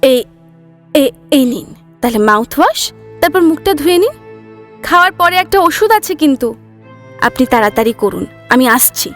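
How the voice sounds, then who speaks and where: An actress playing a caring nurse in an audio drama, gently scolding and coaxing her patient. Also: high-pitched, very animated, moderate pace, pauses often. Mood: caring, instructing. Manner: chiding but kindly, coaxing. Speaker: nurse character. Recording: music under the voice.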